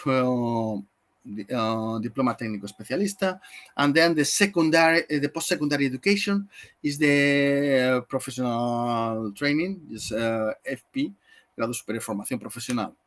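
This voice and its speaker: Brief: Spanish-accented man, webinar trainer, mid-pitched, conversational, lots of fillers and restarts, video-call sound. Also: expressive, normal speed. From Spain. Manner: conversational, many fillers and self-corrections. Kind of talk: explanatory webinar talk.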